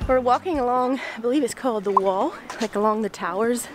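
A woman's voice: on the high side, fairly quick. A woman in her thirties with a Pennsylvania Dutch accent, chatting casually into a handheld camera for her travel vlog. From Pennsylvania, USA. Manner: chatty, laughs often. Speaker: vlogger. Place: car and outdoors in a lakeside town. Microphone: handheld camera at arm's length. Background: background music.

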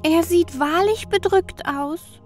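A woman's voice: very high voice, slow, a little mellow, faint music behind. A woman playing a caring, commanding sun goddess in an audio drama, earnest and reproachful. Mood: worried. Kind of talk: German audio drama acting.

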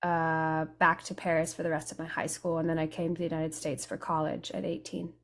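A young woman from Italy, a guest musician, talking casually in an interview over a video call, relaxed and thoughtful, with pauses and fillers. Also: sits low. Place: home room with studio mic and headphones. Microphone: studio condenser mic on video call.

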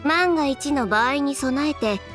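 An actress playing a confident, sharp-tongued young fashion designer in a video game, brisk, matter-of-fact and dryly teasing. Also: female voice, sits high. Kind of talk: video game voice acting in Japanese. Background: game music.

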